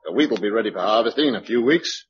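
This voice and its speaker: An actor playing a blunt, sardonic, down-to-earth survivor in a British radio drama, with a British accent and colloquial way of talking. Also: male voice, lowish voice, lively intonation, very fast, natural voice. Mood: exasperated, urgent. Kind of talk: mid-century British radio drama acting. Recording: dull, noisy old radio recording.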